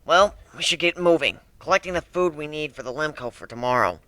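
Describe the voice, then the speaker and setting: A man's voice: on the high side, brisk, bright, forceful tone, dry recording, pitched above the performer's own voice. A man voicing a scornful, curt female griffin in a dramatic reading of a fantasy story. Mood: practical. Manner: curt, huffy.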